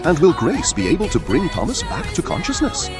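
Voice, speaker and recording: A man with a husky voice reading a scripted story as a dramatic narrator. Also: on the high side, wildly swooping pitch, a little fast, music in the background.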